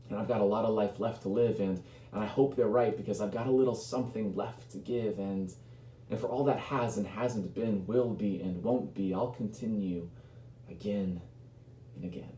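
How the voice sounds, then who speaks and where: Low, mellow man in a roomy, humming space. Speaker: spoken word poet. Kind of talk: spoken word poetry recital, conversational. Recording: roomy echo, noticeable background hum.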